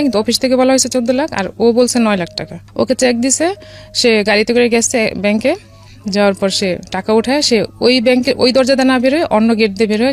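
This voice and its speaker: A middle-aged woman with a Bangladeshi accent tells a personal story in a radio interview, conversationally, with some English words mixed in. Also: on the high side. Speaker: guest telling her life story. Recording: music behind the voice. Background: background music.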